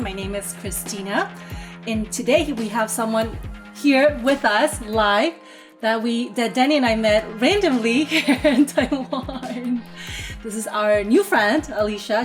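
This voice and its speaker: A woman's voice: a little high, moderate pace, faint music behind. A woman co-hosting a podcast, asking friendly, upbeat questions and laughing often. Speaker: podcast co-host. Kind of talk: casual interview chat.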